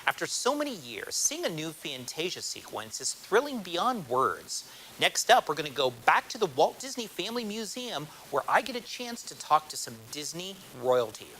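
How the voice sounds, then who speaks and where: Animated American male TV film reporter. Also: fairly high pitch, normal speed, slight background noise, low-quality recording. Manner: polished, promotional delivery. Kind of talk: scripted broadcast narration. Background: music.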